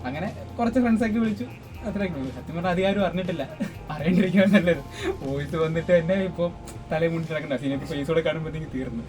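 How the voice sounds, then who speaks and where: A man's voice: a little high, very fast, over music. A man chatting casually as an interview guest in a dubbing studio. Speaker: interviewee, sound engineer and dubbing artist. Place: small dubbing studio suite.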